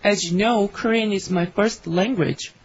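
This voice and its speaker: A woman reading her part in a scripted English conversation for learners, with clear, careful delivery. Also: medium pitch, unhurried, dry recording. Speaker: dialogue reader for English learners. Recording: dry, slightly dull studio recording.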